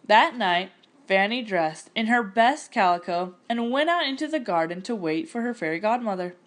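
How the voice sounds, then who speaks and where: A woman narrating a picture-book story aloud in an expressive, scripted storytelling style. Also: mid-range voice, normal speed.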